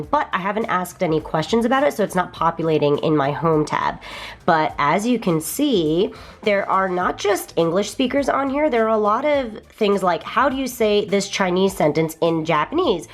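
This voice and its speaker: A woman's voice: mid-range voice, lively intonation, moderate pace. A young woman vlogger, bubbly and enthusiastic, chatting casually through an app walkthrough. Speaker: vlogger reviewing a language app.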